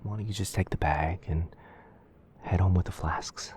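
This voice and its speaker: Gentle wizard boyfriend, male performer, deep dark-soft tone, tender near-whisper delivery for ASMR roleplay, faint background noise. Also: steady tone, normal speed, natural voice. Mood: soft, reassuring. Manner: tender, hesitant, coaxing.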